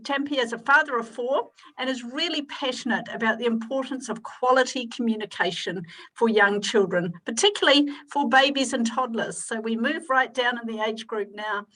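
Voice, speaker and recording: A woman's voice: on the high side, pushed and bright, poor audio. A woman hosting a webinar, introducing speakers with effusive thanks over a video call. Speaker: webinar host. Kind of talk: moderating a webinar.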